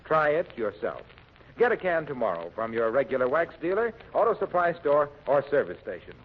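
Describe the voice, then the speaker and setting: A man's voice: a little high, big pitch swings, a little fast, slightly rough. An American man announcing and selling in polished 1940s radio style. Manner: polished salesman delivery. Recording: muffled, narrow-band 1940s radio recording.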